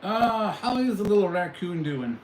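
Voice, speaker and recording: A man's voice: high voice, sing-song, moderate pace, a little mellow. Middle-aged male streamer, casual and chatty, amused at chat; roomy echo, faint hum behind. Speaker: livestream host. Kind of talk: casual live chat with viewers. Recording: echoey room, faint background noise.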